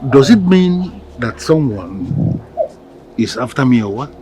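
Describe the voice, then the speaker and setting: A man with a Nigerian accent playing a proud, ruthless village king in a melodramatic film, speaking in declamatory, deliberate phrases. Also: mid-range voice, sing-song, warm tone, slight background noise, low-quality recording. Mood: shocked, anguished. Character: proud, ruthless African village king, vengeful, later anguished. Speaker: film actor. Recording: background music under dialogue.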